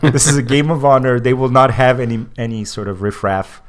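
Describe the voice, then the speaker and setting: A man's voice: mid-range voice, brisk. Wry laughing male podcast host. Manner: wry, laughs often while reading. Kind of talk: casual podcast chat, reading news aloud.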